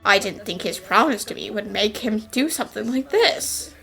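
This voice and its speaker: A woman voicing a gentle, apologetic mother of a grown son in an anime fan dub, earnest, with music underneath. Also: medium pitch, expressive, moderate pace, gravelly.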